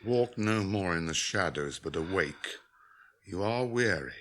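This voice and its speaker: A man's voice: low-pitched, lively intonation, unhurried, fairly bright tone. An actor playing a grave, solemn returned king and healer in a 1980s radio drama. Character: noble kingly male healer and returned king.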